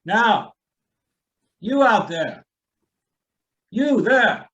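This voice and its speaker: Far-off, high-voiced imperious patriarch, played by a man. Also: very animated, very slow, fairly bright tone, exaggerated voice. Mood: grandiose pleading. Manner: commanding, grandiose, declaiming. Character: imperious rich patriarch bargaining grandly with the heavens. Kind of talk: radio play acting. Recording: distant, dull lo-fi sound.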